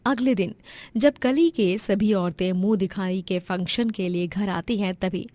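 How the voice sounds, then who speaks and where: A woman's voice: medium pitch, measured pace, mellow, no room sound. Woman narrating, dry studio sound. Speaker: storyteller. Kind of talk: scripted story narration.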